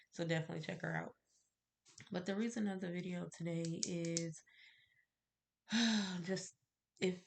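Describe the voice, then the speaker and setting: Low-voiced lady with a stuffy nose, kinda nasal, gravelly, rambly with lots of ums. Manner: rambling, lots of fillers and soft laughs. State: stuffy, congested. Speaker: candle-making vlogger. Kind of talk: casual chat to camera.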